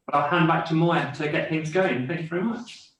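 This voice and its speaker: A man's voice: on the high side, a little fast, a bit bright. A man introducing himself on a video call in a friendly, fluent way. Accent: non-Norwegian, foreign-born living in Norway. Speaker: panelist. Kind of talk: self-introduction on a webinar. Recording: echoey, distant mic with faint background noise.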